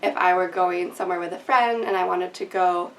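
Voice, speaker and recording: A woman's voice: on the low side, fairly even intonation, fairly quick. A young woman student speaking conversationally in an interview, peppering her points with "like". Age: young adult. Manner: conversational, frequent "like". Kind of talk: casual interview. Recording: distant mic with faint room noise.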